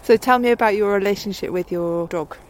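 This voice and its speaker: A woman hosting a podcast, stopping passers-by outdoors and asking them gently curious questions. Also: medium pitch, fairly quick. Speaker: podcast host interviewing passers-by. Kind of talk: casual street interview. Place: outdoors by a river on a cold morning. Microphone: handheld recorder. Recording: outdoor recording with clear background noise.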